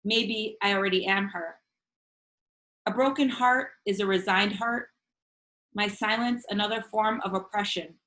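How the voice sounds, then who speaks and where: A woman whose first language is Spanish, reading her own poems in a measured, earnest way over a video call from home, with casual asides between pieces. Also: medium pitch, pushed and bright, rough recording. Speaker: featured poet. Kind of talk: reading her own poetry at an online open mic.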